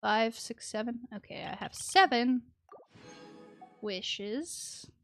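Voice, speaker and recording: Woman streaming a game, bright voice, kinda slow, playful little mumbly noises, some music behind. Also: a little high, animated. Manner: playful, mutters little sound words to self. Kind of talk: casual livestream gameplay chat.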